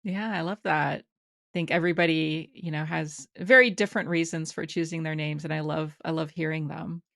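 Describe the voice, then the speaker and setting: A woman's voice: low voice, fairly even intonation, fairly quick, gravelly, fairly bright tone, dry recording, clean studio sound. A woman hosting an interview, responding with encouragement and asking questions. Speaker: host. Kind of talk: casual interview.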